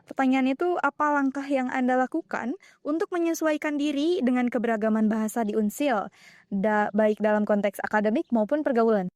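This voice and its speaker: A woman's voice: sits high, a little fast, a little mellow, no room sound, studio quality. A young woman as interviewer, reading out formal questions plainly. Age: young adult.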